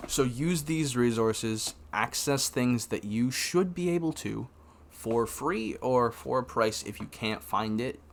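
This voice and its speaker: A man's voice: mid-range voice, slow pace. A young man of about nineteen from Canada, talking casually to camera in a rambling way full of fillers and restarts. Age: young adult, about 19. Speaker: vlogger.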